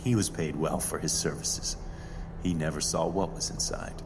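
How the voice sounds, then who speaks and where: Imperious rich heir, low voice, pompous formal diction, audio drama, long reverb, music underneath. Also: male voice, steady tone, normal speed, background noise. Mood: haughty. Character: haughty wealthy male heir, secretive and imperious.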